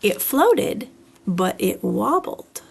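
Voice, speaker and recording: A woman reading a children's story aloud in an expressive, deliberate storytelling style. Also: medium pitch, vocal fry, breathy edge.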